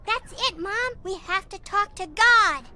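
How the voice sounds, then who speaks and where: A woman voicing an earnest, precise and determined young girl in an animated video game comedy. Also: very high-pitched, measured pace, crowd noise.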